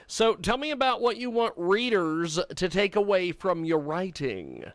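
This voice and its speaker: A man's voice: high voice, very animated, unhurried, no echo. A male radio host in a small home studio with a headset, upbeat and plugging his guest. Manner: upbeat, pushy plugs. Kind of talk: radio interview hosting. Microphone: headset and desk mic.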